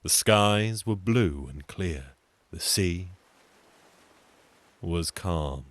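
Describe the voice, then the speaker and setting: Low-voiced, animated male storyteller, wry. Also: drawn-out words, no echo. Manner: wry, sardonic, conversational asides. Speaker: storyteller, solo show performer. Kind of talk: comic theatrical folk-tale storytelling.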